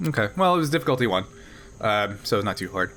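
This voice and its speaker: A man acting as game master, casually explaining a tabletop roleplaying game in a halting way. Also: lowish voice, sing-song, a bit bright, slight background noise.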